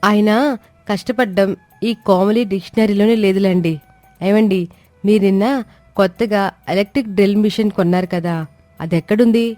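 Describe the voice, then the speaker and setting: A woman's voice: mid-range voice, fairly even intonation, normal speed, long pauses, warm tone, dry recording, lightly acted. A woman voicing a clever, lazy young daughter-in-law in a cartoon story, explaining herself in a pleading yet self-assured way. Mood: scheming, confident. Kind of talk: acted dialogue in an animated moral story. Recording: music behind the voice. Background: music.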